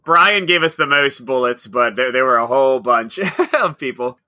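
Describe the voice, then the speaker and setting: A man's voice: mid-range voice, animated, normal speed, pushed and bright, dry recording, clean studio sound. A man co-hosting a casual podcast, upbeat and teasing, laughing often. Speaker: podcast co-host.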